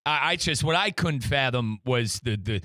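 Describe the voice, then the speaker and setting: Male sports radio host, forceful bright voice, a little high, emphatic and chummy, dry close sound. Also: expressive, moderate pace, no room sound. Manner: emphatic, chummy, repeats himself. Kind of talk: soccer talk show hosting.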